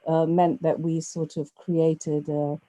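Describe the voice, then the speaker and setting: A woman actress talks through an interview in a chatty way, her speech full of "you know" fillers. Her voice sits fairly low and sounds mellow and soft-edged. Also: flat delivery, slow pace. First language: not Urdu. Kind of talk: conversational interview.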